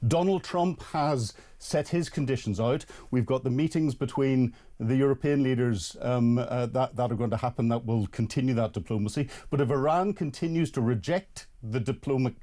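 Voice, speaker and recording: A man with a warm, mellow tone speaks as a studio analyst on a talk radio show. He works through his points analytically, with frequent ums and restarts. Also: mid-range voice. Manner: plain, analytical, many fillers. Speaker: studio guest, security analyst.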